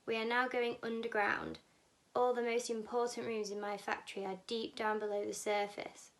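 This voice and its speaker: Woman as excitable male factory boss, storybook character, commanding, urging, slightly high-pitched, some fry. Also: moderate pace, natural voice. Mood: proud, explaining. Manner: calls out commandingly, urging and boastful. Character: brisk, excitable male chocolate factory owner, hurrying visitors along.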